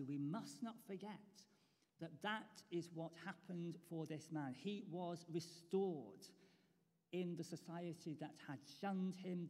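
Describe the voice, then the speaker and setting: A man preaching a sermon in a church, earnest and measured, with pauses and small fillers. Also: on the high side, mellow, in a large hall.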